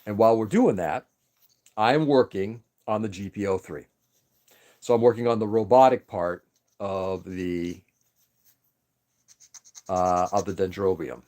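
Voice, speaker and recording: A man's voice: lowish voice, a little slow, pauses often, a little mellow. A man whose first language was Greek, chatting casually on a livestream, rambling and greeting viewers by name. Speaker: livestream host.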